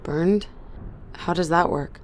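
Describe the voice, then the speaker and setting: A tentative, curious newcomer to a spaceship speaks haltingly in a low voice. It is audio drama acting with a faint ambient bed. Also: female voice, slight background noise. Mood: curious, hesitant. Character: hesitant, curious woman newly woken from cryosleep.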